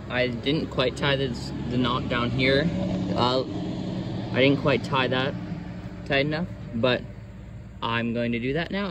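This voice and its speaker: A man's voice: mid-range voice, a bit bright, slight background noise. A young man giving a casual, loosely worded how-to demonstration as a series host, recorded outdoors on a camera's built-in microphone. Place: outdoors in a wooded park area.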